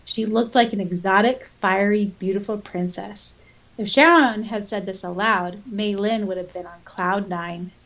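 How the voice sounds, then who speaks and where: A woman's voice: mid-range voice, a little slow, a bit bright, low-quality recording. A woman co-hosting a podcast, chatting casually and sometimes reading passages aloud. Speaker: podcast co-host and audiobook narrator.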